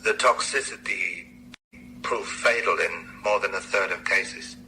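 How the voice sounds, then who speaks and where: An actor playing a grave, dejected medical researcher in a radio drama, halting and formal in his phrasing. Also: sits low, creaky, bright, forceful tone, slight background noise, heavily acted, rough recording. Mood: dejected, apologetic.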